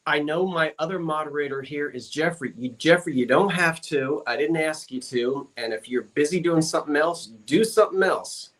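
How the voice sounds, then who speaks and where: A man's voice: medium pitch, a bit bright. A man hosting a live-stream glass sale, chatting casually with viewers, with lots of fillers and self-corrections. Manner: chatty, rambling, reads out viewer comments. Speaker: live-stream auction host.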